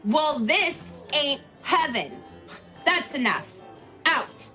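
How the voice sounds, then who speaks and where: A woman's voice: sits high, animated, very slow, sharp tone, soft background music, full character voice. A woman playing a tough, suspicious, hostile bartender in a radio play, brusque and slangy. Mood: angry, barking.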